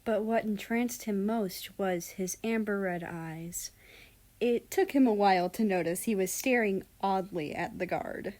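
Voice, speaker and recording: A woman's voice: medium pitch, a little slow, darkish tone. A woman reading a fan fiction story aloud as scripted narration.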